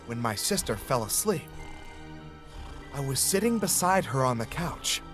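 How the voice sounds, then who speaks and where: A man telling a childhood ghost story as scripted narration, in an even, flat storytelling manner. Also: mid-range voice, measured pace, soft background music. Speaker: narrator of a played story video.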